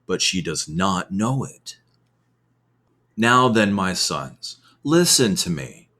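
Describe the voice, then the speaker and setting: A man reading scripture aloud as ASMR, with measured, deliberate phrasing and expressive emphasis. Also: sits low. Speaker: reader of scripture.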